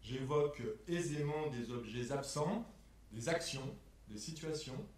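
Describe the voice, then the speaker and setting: A man delivering a scripted spoken exposé in a measured, methodical way, setting phrases apart like quotations. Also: on the high side, lively intonation, far from the mic. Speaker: performer in a spoken-word performance lecture. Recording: echoey room, picked up from afar.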